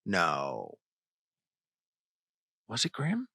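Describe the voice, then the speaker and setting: A man's voice: deep voice, wildly swooping pitch, drawn-out words, gravelly, bright, forceful tone, breathy edge, dry recording, clean studio sound. A man co-hosting a trivia podcast, chatting casually and reading out questions in an easygoing, good-humored way. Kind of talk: casual chat.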